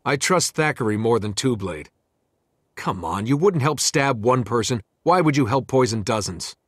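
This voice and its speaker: An actor playing a determined, loyal young streetwise hero in a video game, with a husky voice. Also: male voice, medium pitch, lively intonation, normal speed, dry recording, studio quality, natural voice. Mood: urgent persuading. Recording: music behind the voice.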